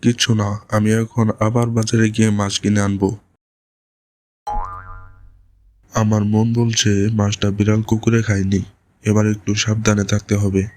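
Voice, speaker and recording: Low, even-voiced village husband played by a man. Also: a little fast, a little hiss or hum, close to an everyday voice. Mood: puzzled, suspicious. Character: food-loving village husband, bossy yet shrewd. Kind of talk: cartoon voice acting. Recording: music playing behind the voice.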